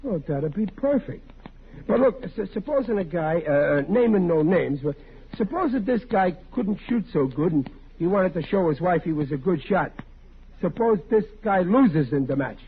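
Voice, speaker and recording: A man's voice: sits high, animated, moderate pace, slightly rough, full character voice. An actor playing a stubborn, gullible working-class husband and father, blustery and stammering, in 1940s radio comedy style. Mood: sheepishly eager. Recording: muffled old-time radio recording, faint hiss.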